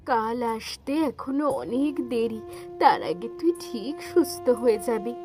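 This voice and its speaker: A caring cartoon mother, played by a woman, speaks in a fairly high voice with lively swings in pitch. She is soothing but firm, with music clearly behind her. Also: takes it slowly. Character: caring, gently firm mother of a young boy. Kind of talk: cartoon folk-tale dialogue.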